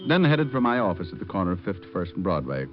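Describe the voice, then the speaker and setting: A man's voice: deep voice, very animated, fast. An actor playing a wry, wisecracking male private detective who narrates an old radio drama in a laid-back, sardonic way. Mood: narrating, wry. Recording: dull old radio recording with music.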